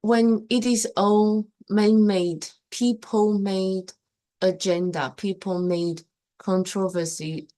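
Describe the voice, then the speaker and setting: Lively young woman talking on a livestream. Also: medium pitch, slow pace. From Taiwan. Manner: loose, repetitive non-native English with many restarts. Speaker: live stream host. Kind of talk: casual opinion monologue on a live stream.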